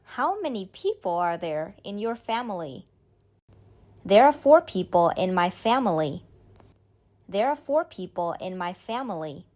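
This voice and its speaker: Woman reading practice lines real slow, bouncy up-and-down voice. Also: medium pitch, big pitch swings, darkish tone. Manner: repeats each line slowly and clearly. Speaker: model speaker in a language drill. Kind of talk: slow scripted drill reading.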